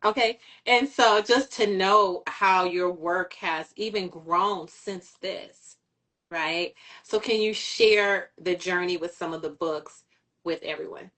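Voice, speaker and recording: Woman, interview host, bright voice, excitable, affirming, casual. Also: on the low side, takes it slowly. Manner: excitable, affirming, starts with "listen".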